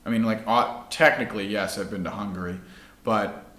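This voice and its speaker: A man's voice: lowish voice, steady tone, a little fast, pushed and bright, echoey room. A male podcast host chatting in a laid-back way, saying "like" a lot. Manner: laid-back, heavy use of "like". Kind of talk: casual chat.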